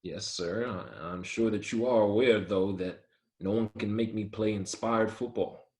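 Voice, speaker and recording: Man reading a low-voiced, earnest young soldier. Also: a little slow, darkish tone. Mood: respectful, firm. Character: earnest, principled young male Army soldier, quietly firm. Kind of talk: screenplay table read, first-person narration and dialogue.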